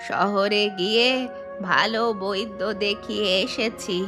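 A woman's voice: mid-range voice, steady tone, slow pace. A woman voicing an aging, widowed mother-in-law in a cartoon who laments and scolds. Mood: sorrowful. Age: older. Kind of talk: cartoon voice acting. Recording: studio voice with background music.

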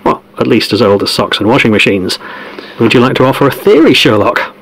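A man's voice: medium pitch, very animated, fairly quick, fairly bright tone, rough recording. An actor playing a chatty, easily amazed male football podcast host in a scripted audio drama. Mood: upbeat, curious.

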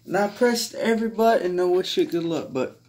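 A man's voice: high voice, fairly quick, a little mellow, a little hiss or hum. A man chatting casually to viewers in a rambling, hesitant way, often trailing off, recorded on a handheld device in a bedroom. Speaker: hobbyist vlogger showing a collection. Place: small carpeted bedroom, indoors. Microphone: handheld phone or camera held by the speaker.

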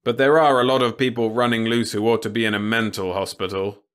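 A man doing a contemptuous middle-aged male director, cold, curt, loud, storybook reading. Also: fairly low pitch, flat delivery, fairly quick, clean tone, natural voice.

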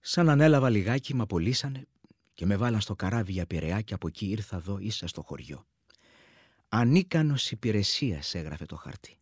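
Husky, wistful war veteran. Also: male voice, fairly low pitch, sing-song, no room sound, studio quality. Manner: intimate, plain-spoken reminiscing. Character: wounded male war veteran villager, wistful, confessional. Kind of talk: radio play monologue, colloquial storytelling.

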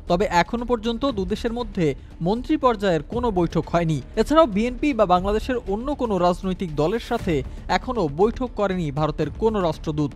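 A man with a Bangladeshi accent narrating a scripted news report in a formal, measured manner. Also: high voice, darkish tone. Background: background music.

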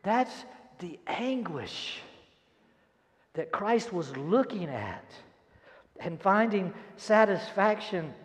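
An older man preaches a sermon and reads scripture with dramatic, emphatic delivery and deliberate pauses. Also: high voice, very animated. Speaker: pastor. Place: large church auditorium stage, indoors. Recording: echoey hall, long reverb.

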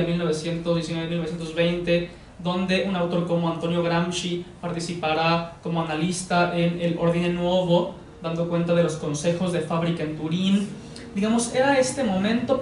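A young man with a Mexican Spanish accent giving an academic lecture in a measured, explanatory way. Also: high voice, darkish tone, slight background noise. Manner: measured, explanatory, frequent hedging fillers. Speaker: course lecturer. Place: living room with sofas, indoors. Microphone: far camera. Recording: echoey room from far off.

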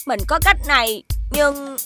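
An actress voicing a small, loyal magical friend in a children's cartoon, childlike and earnest. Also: female voice, high voice, measured pace, sharp tone, faint music behind. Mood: helpful.

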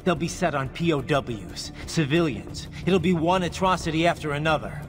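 A man's voice: on the high side, moderate pace, music in the background, close to an everyday voice. A man voicing an indignant, righteous cyborg soldier in a video game. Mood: urgent pleading.

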